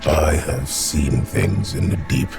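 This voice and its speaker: A menacing undead hunter from the deep for a fantasy game. The voice is extremely low and gravelly, slow and terse, cold and brooding, with music behind it. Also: male voice, full character voice. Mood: cold, ominous. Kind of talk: video game character acting.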